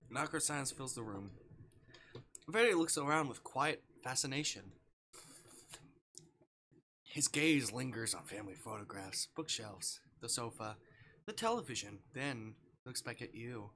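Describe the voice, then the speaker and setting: A man's voice: medium pitch, animated, unhurried, long pauses, pushed and bright, slight background noise. A man doing casual livestream game commentary, reading on-screen text aloud and muttering asides to himself. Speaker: game streamer.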